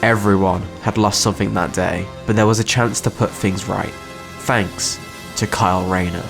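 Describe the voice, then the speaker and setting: A man's voice: low voice, fairly even intonation, normal speed, gravelly, music in the background. A man reading scripted, dramatic narration for a story.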